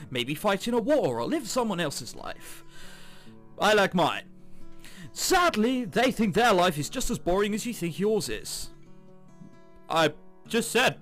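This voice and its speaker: A man with a fairly high voice plays a game and reads its text aloud. His delivery is lively and swings widely in pitch. He drops in casual, sarcastic jokes, with game music playing behind him. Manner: jokey, sarcastic asides. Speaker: let's player. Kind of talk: casual gaming commentary mixed with reading game text aloud. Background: game background music.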